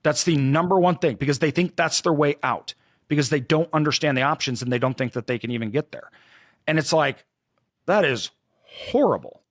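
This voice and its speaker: A man's voice: on the high side, a little fast, no echo. Emphatic man, dry close sound. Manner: emphatic, critical, rhetorical questions. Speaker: podcast co-host. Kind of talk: opinionated casual discussion.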